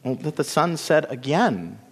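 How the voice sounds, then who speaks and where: A man voicing a gentle, sad female lily in a storybook, consoling her sisters softly and hesitantly. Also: fairly high pitch, sing-song, a little slow, slightly rough, pitched above the performer's own voice, full character voice. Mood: gentle earnest. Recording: long hall reverb.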